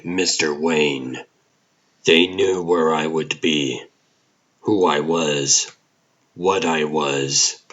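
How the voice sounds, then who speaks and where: A grim vigilante hero, acted by a man in a noir comic drama. The voice is deep and forceful, delivered slowly and deliberately like a brooding detective's inner monologue. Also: lo-fi sound, heavily acted. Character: aging vigilante hero, brooding hard-boiled detective narrator.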